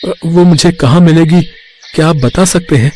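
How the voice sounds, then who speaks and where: A man's voice: a little high, moderate pace, dark tone, a little hiss or hum, natural voice. A man voicing a poor, hardworking street vendor, an earnest and plaintive family man in a Hindi moral-story cartoon. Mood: earnest, pleading. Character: poor, hardworking pani puri vendor, worried earnest husband and father.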